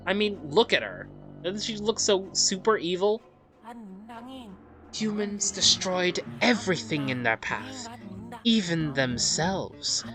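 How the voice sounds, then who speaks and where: A let's play host reads a game's story aloud in a measured, dramatic way and adds offhand, rambling commentary. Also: medium pitch, fairly bright tone. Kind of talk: reading game story aloud with casual commentary. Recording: music under the voice. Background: game music.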